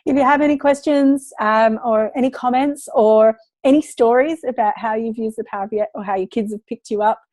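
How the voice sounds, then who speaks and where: A woman's voice: a little high, a little fast, hardly any pauses, a little mellow, dry recording. A woman who is losing her voice, talking in a chatty, encouraging way to parents about teaching children. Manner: chatty, encouraging, lots of fillers. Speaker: parenting educator. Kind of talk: casual talk to parents.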